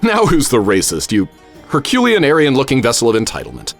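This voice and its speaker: An actor playing a witty, grandiose American professor and adventurer, speaking in flowery, theatrical phrases in a radio drama. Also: male voice, mid-range voice, huge pitch swings, fairly quick, faint music behind. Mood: bantering. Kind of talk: radio drama acting and first-person narration.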